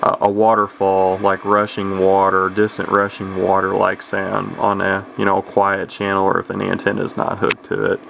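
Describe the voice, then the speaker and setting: A man with a low voice and a creaky, fry-laden edge walks through a product casually and without a script. He is matter-of-fact and tends to ramble. The recording is very noisy, with hiss behind him. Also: completely flat delivery, moderate pace, few pauses. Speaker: radio collector giving a product demonstration. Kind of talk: casual unscripted product walkthrough.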